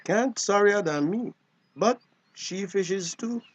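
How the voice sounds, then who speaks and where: A man's voice: high voice, slow pace, highs cut off. An actor playing a weary, bitter old grandfather in a screen drama, with a Belizean accent. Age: older.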